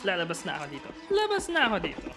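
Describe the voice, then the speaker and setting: A man's voice: high voice, wildly swooping pitch, racing, creaky, full character voice. A man voicing a fussy, anxious enchanted clock butler in a cartoon fan dub, flustered, bossy and protesting. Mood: flustered, indignant. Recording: loud music behind the voice.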